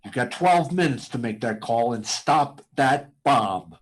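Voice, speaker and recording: A man's voice: medium pitch, animated, measured pace. A man playing a desperate, threatening pilot in a radio drama. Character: desperate male pilot threatening to blast the base. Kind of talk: live radio drama acting.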